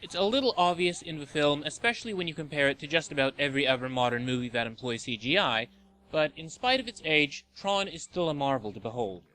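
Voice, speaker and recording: A man's voice: fairly high pitch, expressive, quick pace, pushed and bright. A man reading a scripted review narration as the host of a countdown list video.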